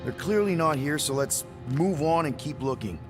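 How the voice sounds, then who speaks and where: An actor playing an earnest, flustered young outlaw leader in a video game, casual and wry. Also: male voice, on the high side, animated, normal speed, lightly acted. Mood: worried, earnest. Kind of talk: video game cutscene acting. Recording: long reverb, music behind.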